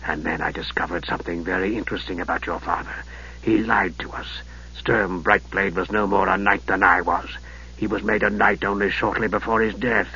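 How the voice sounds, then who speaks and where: A man voicing a frail, sardonic old archmage in a soft, low voice that drops to whispers and a slow, cutting delivery, on a dull lo-fi recording. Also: gravelly, slight background noise, exaggerated voice.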